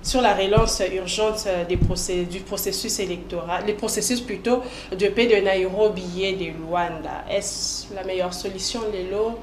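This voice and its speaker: A woman's voice: medium pitch, normal speed, hardly any pauses, echoey room, noisy background. A woman hosting an interview, putting questions and cutting in, with local words mixed into her speech.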